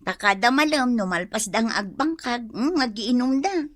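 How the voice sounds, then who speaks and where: An actress plays a complaining, gossipy village mother in an Ilocano radio comedy. Her female voice is high and piercingly bright. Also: animated, moderate pace.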